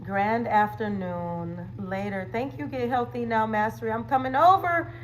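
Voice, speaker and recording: A woman's voice: fairly high pitch, moderate pace, a little hiss or hum, low-quality recording. A woman hosting a casual livestream, chatting playfully with viewers in slangy, conversational phrases. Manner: playful, chatty, with slang catchphrases and frequent laughter. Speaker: livestream host and wellness coach.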